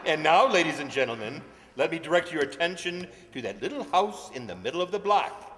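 A man's voice: fairly high pitch, animated, brisk, a bit bright. A man playing a cheery, chatty bus tour guide in an old-time radio comedy, announcing to his passengers with showy patter. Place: stage of a large hall. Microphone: stand microphone. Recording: echoey hall stage performance.

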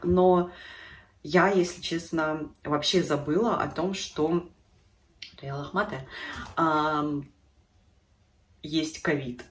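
A woman's voice: deep voice, steady tone, takes it slowly, long pauses, reverberant, far from the mic. A woman in her thirties with a stuffy nose from a cold, chatting casually to camera about beauty products at home. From Russia. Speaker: beauty vlogger. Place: living room at home.

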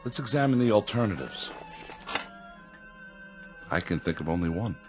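An actor playing a calm psychiatrist who asks measured, probing questions in a radio drama. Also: male voice, lowish voice, close to an everyday voice. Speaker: doctor. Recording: radio play with music.

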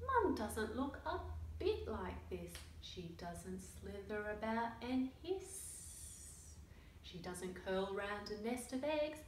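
Storybook little monkey, played by a woman, upset and insistent, lively intonation, recorded at a distance from the mic. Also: mid-range voice, slow. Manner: emphatic, exasperated storybook delivery. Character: upset young monkey searching for its mum. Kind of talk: children's picture book read-aloud. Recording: roomy, distant mic, faint noise.

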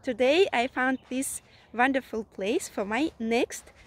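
A woman in her thirties with a Russian accent, talking in simple, halting English as a vlogger and amateur radio operator. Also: high voice. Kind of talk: vlog talk and radio contacts. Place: outdoors on an open sea beach. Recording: faint outdoor ambient noise.

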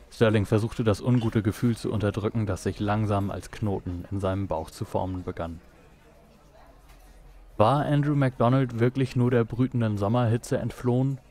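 A man's voice: fairly low pitch, a little mellow. A man reading scripted narration for an audio drama. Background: music.

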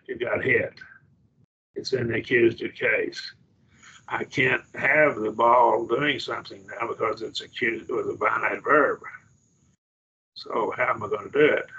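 A man with an Oklahoma accent teaches grammar on a video call, his voice raspy and gravelly with a bright, tense edge. He talks in halting restarts and checks that the class follows. The call audio sounds dull and lo-fi. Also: medium pitch, fairly even intonation. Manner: halting, restarts, explains patiently and checks understanding. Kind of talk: online class lecture.

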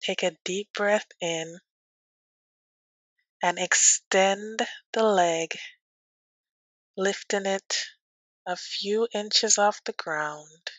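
Very slow, soothing woman guiding meditation. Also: mid-range voice, dry recording. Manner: soothing, gentle, unhurried with long pauses. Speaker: yoga nidra teacher. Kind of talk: guided meditation.

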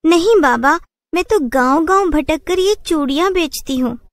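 Woman doing a very high-pitched earnest young heroine. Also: animated, normal speed, no room sound. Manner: high, emphatic, protesting. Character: earnest, brave young village bangle-seller woman.

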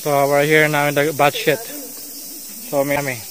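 A man's voice: a little high, monotone, normal speed, a bit bright, a little hiss or hum. A man with a Filipino accent guiding a cave tour, narrating and coaxing climbers along in mixed English and Tagalog. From Philippines. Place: inside a large cave.

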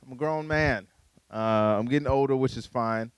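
A man in his forties doing a stand-up comedy set on a small studio stage with a handheld mic, talking conversationally with playful asides and high-pitched voices for punchlines. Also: moderate pace, smooth, no echo. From Chicago, USA. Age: 40s.

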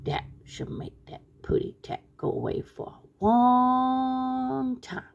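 A woman voicing an innocent but scheming small male canary in a children's storybook, with drawn-out, childlike speech. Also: sits high, sing-song, gravelly, a little mellow, voice pushed up, full character voice.

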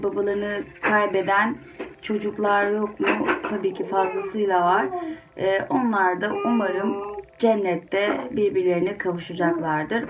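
A woman hosting children's stories, speaking informally and directly to young listeners with gentle advice. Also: mid-range voice, noisy background. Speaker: children's storyteller and channel host. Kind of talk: children's story reading, then informal address to children. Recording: music under the voice. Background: music.

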